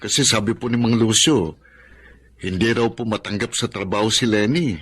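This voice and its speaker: A man's voice: lowish voice, normal speed, fairly bright tone, husky. An actor playing a respectful, earnest young male student and younger brother in a Filipino radio drama. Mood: informative, respectful.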